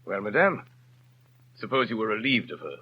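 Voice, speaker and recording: An actor playing a kindly, mysterious gentleman traveller in a 1930s radio drama, measured and courteous in manner. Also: lowish voice, sing-song, breathy edge. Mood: quietly determined. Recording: muffled 1930s radio recording, faint music.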